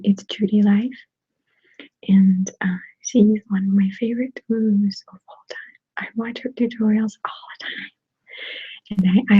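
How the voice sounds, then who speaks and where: Breathy whispering woman with Russian accent. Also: medium pitch, dry recording. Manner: soft whispering, giggly, answers viewer comments. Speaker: ASMR channel host. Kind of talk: whispered ASMR live chat. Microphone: desktop USB microphone. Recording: dull, dry close-mic sound.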